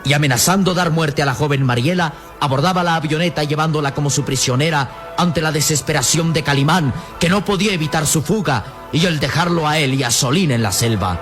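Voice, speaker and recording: A man's voice: a little high, fairly quick. Theatrical male radio-serial narrator over music. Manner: grave, emphatic, theatrical. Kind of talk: dramatic scripted narration, old radio serial. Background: dramatic music.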